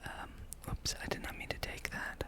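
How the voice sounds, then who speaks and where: A gaming ASMR host in a breathy, unvoiced whisper, musing aloud in an unhurried ramble while playing. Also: sharp tone.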